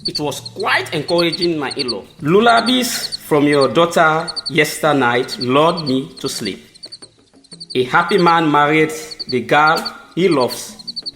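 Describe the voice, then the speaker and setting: A man's voice: on the high side, expressive, unhurried, noisy background. A man with a Sierra Leonean accent playing a courteous, smitten newlywed chief in an amateur audio drama, formal and earnest in his delivery. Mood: courteous, happy.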